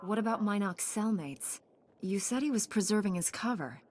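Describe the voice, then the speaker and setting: An actress playing a calm, resolute heroine knight in a video game, composed and measured. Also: female voice, mid-range voice, a little hiss or hum, close to an everyday voice. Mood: sombre. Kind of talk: video game character acting.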